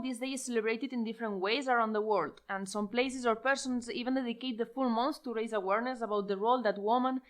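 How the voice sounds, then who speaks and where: Slightly high-voiced woman hosting. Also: fairly bright tone. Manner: leads questions, light hesitations. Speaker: podcast host. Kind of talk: podcast hosting.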